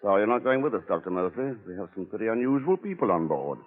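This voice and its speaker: An actor playing a wry, laconic, unflappable adventurer in an old-time radio drama. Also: male voice, fairly low pitch, big pitch swings, fast, narrow, tinny audio. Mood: cool, dry. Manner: dry, laconic. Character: cool, wry male adventurer hero, unflappable. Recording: thin, band-limited old radio recording.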